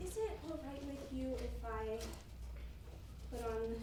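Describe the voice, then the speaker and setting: A woman's voice: on the high side, animated, slow pace. A woman acting an eager, apologetic young date in an amateur stage comedy. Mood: apologetic, chatty. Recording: noisy, echoey stage recording from far off.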